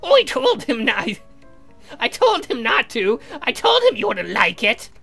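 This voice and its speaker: A man plays a timid, apologetic uncle for a visual novel game. The voice is pitched high and bright, fretful and evasive, jumping around in tone. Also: wildly swooping pitch, a little slow, creaky, faint music behind, exaggerated voice. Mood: anxious.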